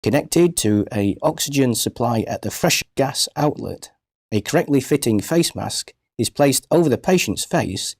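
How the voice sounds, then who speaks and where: A man reading scripted instructional narration for a medical training film in a measured, precise, formal manner. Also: mid-range voice, a little mellow, breathy edge, dry recording, clean studio sound.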